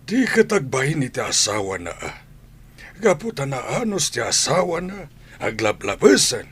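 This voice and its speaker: A man with an Ilocano accent playing a worried, scolding father in a radio drama, with a husky voice. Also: medium pitch, sing-song, normal speed, a bit bright, exaggerated voice. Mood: disapproving. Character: worried, scolding father complaining about grown daughter.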